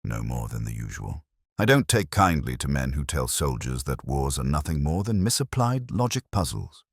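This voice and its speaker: A man voicing a gruff, dismissive army colonel with a brusque, curt manner in a storybook mystery. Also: a bass voice, big pitch swings, normal speed, no echo, studio quality, full character voice. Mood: brusque, defensive.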